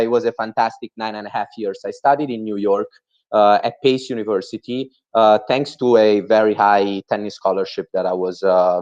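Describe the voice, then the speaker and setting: A man's voice: lowish voice, darkish tone. A young man from Italy with an Italian accent, presenting a webinar talk with frequent "uh" fillers. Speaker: guest speaker. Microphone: video call. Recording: muffled online call audio.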